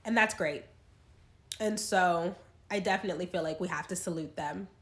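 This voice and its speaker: A woman's voice: lowish voice, moderate pace. Stuffy-nosed woman vlogging in an echoey room. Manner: chatty, tangent-prone, frank. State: stuffed up from a cold. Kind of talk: casual talk to camera.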